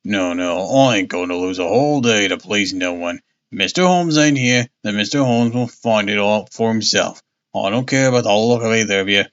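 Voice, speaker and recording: Man voicing a stubborn, low-pitched old seafarer. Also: normal speed, fairly bright tone, dull audio, close to an everyday voice. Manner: rough uneducated speech, obstinate.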